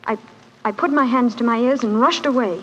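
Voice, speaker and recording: Casting: actress as young governess, refined and worried, earnest 1940s radio drama, dull recording with faint noise. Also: female voice, a little high, brisk, fairly bright tone, natural voice. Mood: anxious, earnest. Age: young adult. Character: distressed, earnest young governess protesting her innocence.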